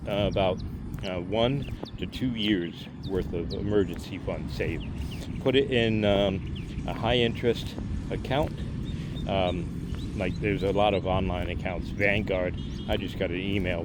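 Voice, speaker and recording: A man in his late fifties giving money and marriage advice in a casual, rambling walk-and-talk, with many fillers and restarts. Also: low voice. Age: 50s. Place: outdoors on a walk near traffic. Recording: outdoor street noise with passing cars.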